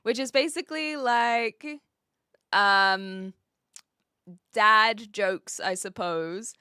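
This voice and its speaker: A woman's voice: mid-range voice, drawn-out words, pushed and bright, smooth, no room sound, clean studio sound. A woman with a New Zealand accent, chatting casually on a livestream in a playful, rambling, teasing way as she answers viewers. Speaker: live streamer.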